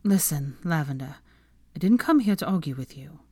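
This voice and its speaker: A woman's voice: sits low, lively intonation, normal speed, dark tone, breathy edge, no room sound, clean studio sound. A woman voicing a shrewd and resolute young woman with a coolly assertive manner in a storybook. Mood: cool, firm.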